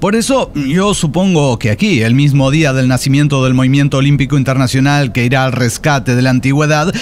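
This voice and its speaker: An actor playing a cautious, easily startled time traveller in a comic radio play, hesitant and exclaiming. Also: male voice, medium pitch, a little fast, a little hiss or hum, natural voice. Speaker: radio theatre performer.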